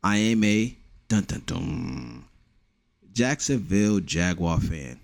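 A man's voice: on the low side, slow pace, creaky, dry recording. A young man with a New York accent, hosting a casual solo podcast with blunt, profane joking. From the Bronx, New York. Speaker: podcast host.

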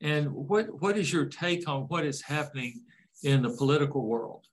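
A man's voice: a little high, animated, normal speed, poor audio. An older man hosting a casual interview, folksy and rambling, with easygoing jokes. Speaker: interview host.

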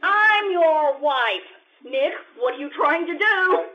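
Bewildered, indignant wife played by a woman, swooping slow radio comedy delivery, muffled live recording. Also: high-pitched, lively intonation, bright, forceful tone, full character voice. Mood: outraged. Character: outraged, bewildered second wife, shrill and dramatic. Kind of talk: stage radio comedy acting. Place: live stage show at an arts center. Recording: dull live theatre recording.

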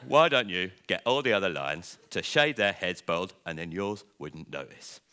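A man's voice: sits low, animated, moderate pace, pushed and bright, smooth, pitched below the performer's own voice. A man voicing a cheeky crow who hands out advice in a children's storybook. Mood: cheeky, loud. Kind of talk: storybook character voice.

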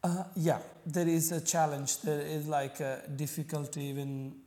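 A man from Pakistan with a Pakistani accent and Punjabi as his first language, speaking haltingly as a podcast guest. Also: a little high, flat delivery, mellow. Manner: halting, searching for words, frequent fillers. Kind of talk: conversational interview.

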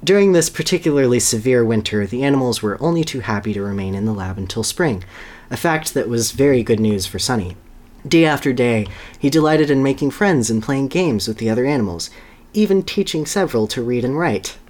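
Podcast host, medium pitch, dramatic story reading, casual asides, amused delivery. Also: animated, a little fast, darkish tone. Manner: amused, breaks into laughter while reading.

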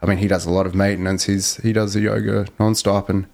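Relaxed, reflective man. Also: sits low, fast talker, creaky. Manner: relaxed, reflective, lots of fillers. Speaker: podcast guest. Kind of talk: casual podcast chat.

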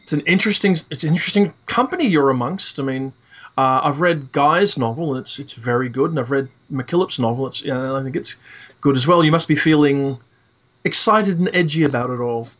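Male podcast host, mid-pitched, halting and conversational, muffled internet-call sound. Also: fairly bright tone. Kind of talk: casual literary discussion.

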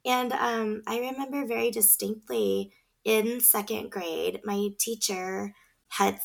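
A woman's voice: medium pitch, fairly even intonation, slow pace, gravelly. A woman in her thirties, a podcast guest, talking in an earnest, reflective way with many fillers. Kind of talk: casual conversation.

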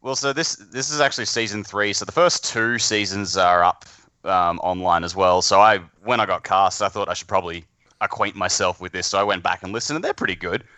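A man talking casually as a podcast guest, in a halting, informal way about his amateur acting. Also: low-pitched, bright, forceful tone, clear, resonant tone, no echo. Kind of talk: casual chat.

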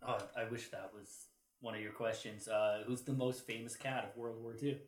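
A man in casual podcast discussion, explaining history as a co-host and piecing his thoughts together haltingly. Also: medium pitch, fairly even intonation. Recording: roomy, echoey sound.

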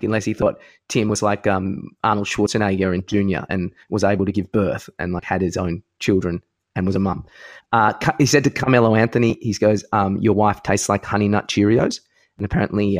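An Australian man co-hosting a podcast, chatting casually about sport and retelling anecdotes in a joking, sweary way. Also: on the low side. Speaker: podcast co-host.

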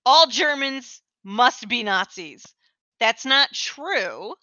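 A woman's voice: a little high, lively intonation, takes it slowly, sharp tone, dry recording. A woman co-hosting a podcast in casual chat, teasing her co-host and laughing often. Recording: dull, lo-fi, dry.

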